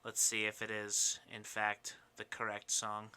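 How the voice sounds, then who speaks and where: A man chatting casually on a podcast panel, animated and bantering. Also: fairly low pitch, a little slow, clean tone. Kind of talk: casual chat, gaming debate.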